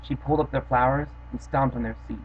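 A man's voice: medium pitch, fast. A young man who hosts a storytime channel, chatting casually and then reading a rhyming children's poem aloud in his bedroom. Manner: casual, offhand chat with fillers, then steady rhythmic reading. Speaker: host reading children's poems. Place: bedroom, indoors. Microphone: built-in webcam mic. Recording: dull lo-fi webcam sound with faint hiss.

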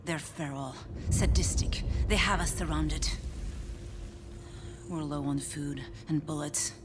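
A grim middle-aged female commander in a game cutscene, with a low voice. She is stern and embittered, often sinking to an intense hush, over clearly audible background noise. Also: steady tone, slow pace. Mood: grim, desperate. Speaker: military commander. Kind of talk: cinematic video game acting.

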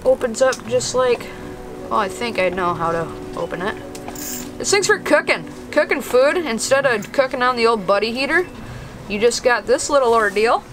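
A man's voice: very high-pitched, animated, moderate pace, music in the background, low-quality recording. A young man narrating his fishing trip to viewers in a casual, upbeat way, filming himself with a handheld camera on a frozen lake. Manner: upbeat, addresses viewers as dudes. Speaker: fishing vlogger. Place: outdoors on frozen lake and inside ice shelter. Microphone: handheld vlog camera at arm's length.

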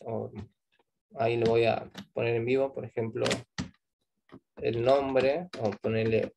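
A man's voice: medium pitch, vocal fry, darkish tone, slight background noise. A man with an Argentine Spanish accent teaching a live online programming class, explaining step by step and checking in with "¿sí?" after each point.

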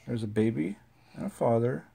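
Gravelly parent reading nursery rhymes. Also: mid-range voice, animated, moderate pace, dark tone. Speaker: parent reading to a young child. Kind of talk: reading nursery rhymes aloud, chatting with a small child.

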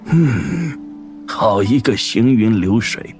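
A man's voice: lowish voice, lively intonation, a little mellow, faint music behind. An actor playing a curious, admiring old man in an audio drama, musing as he talks. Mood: musing wonder. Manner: unhurried, musing.

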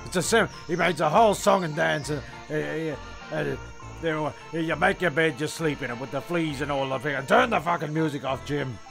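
A man's voice: fairly high pitch, a little fast, creaky, music in the background. A man playing a wry, exasperated comedy narrator, sweary and stumbling through his script. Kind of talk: comic scripted narration with ad-libs.

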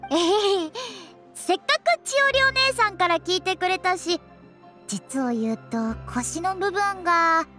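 Very high, sweet girlish cat spirit. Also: animated, unhurried, over music. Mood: sheepish, indignant. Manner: cute, girlish, guileless. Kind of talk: Japanese anime game voice acting.

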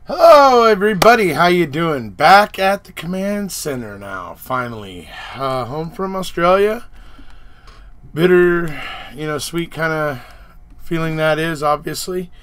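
A slightly hoarse man in his forties, a channel host, rambling casually through a trip recap with many fillers, filmed on a webcam in his home office. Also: fairly high pitch, expressive, a little slow, a bit bright. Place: home office room with posters.

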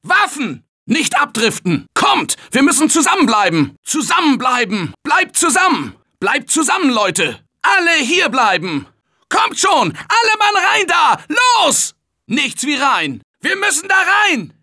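An upbeat office worker turned zombie survivor for a video game. His bright voice swings widely in pitch as he urges the team on, unhurried between the calls. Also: wildly swooping pitch, heavily acted. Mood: calling out. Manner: urgent encouraging team calls, shouting under attack. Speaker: game character voice. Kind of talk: video game voice lines, dubbed.

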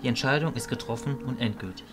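Man acting as calm fatherly mage, medium pitch, serious, composed, audio drama, faint music. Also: fast, close to an everyday voice. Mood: calm, firm. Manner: composed, matter-of-fact. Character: Lombus, calm, grave fatherly male mentor mage.

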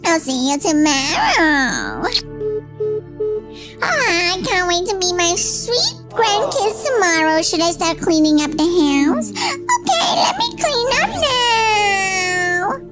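Woman voicing a very high-pitched sweet old granny. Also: very animated, loud music behind the voice, full character voice. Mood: delighted. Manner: cooing, drawn-out, exclamatory. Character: sweet, doting, lonely old grandmother. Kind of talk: animated roleplay story voice acting.

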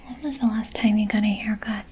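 A young woman whispering softly and gently asking questions as a barber in an ASMR haircut roleplay, recorded close to the mic in a small room. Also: medium pitch, fairly even intonation, a little fast, slightly rough. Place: small decorated indoor room. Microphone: close studio mic in front.

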